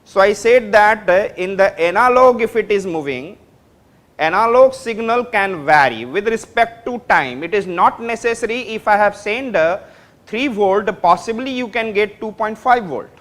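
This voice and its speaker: A man's voice: high voice, moderate pace, fairly bright tone. A man teaching a class in Indian-accented English, repeating his points step by step and often checking that students follow. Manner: repetitive, explains step by step, checks understanding. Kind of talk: classroom lecture.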